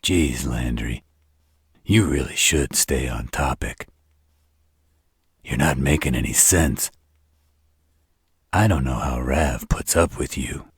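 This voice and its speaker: A man playing an arrogant, flirtatious vampire in a roleplay audio drama, with a husky voice and a smug, taunting delivery. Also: no echo, clean studio sound, exaggerated voice. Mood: smug, teasing. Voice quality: very deep, husky, rough. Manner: smug, taunting, slow drawl. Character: arrogant, teasing, flirtatious adult male vampire. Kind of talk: roleplay audio drama monologue addressed to the listener.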